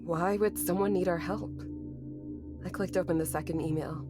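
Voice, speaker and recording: A woman's voice: fairly low pitch, steady tone, normal speed, natural voice. An actress playing a grieving, anxious young woman, narrating a horror audio drama in an introspective, bitter, sweary manner. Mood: panicked, desperate. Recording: music behind the voice. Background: music.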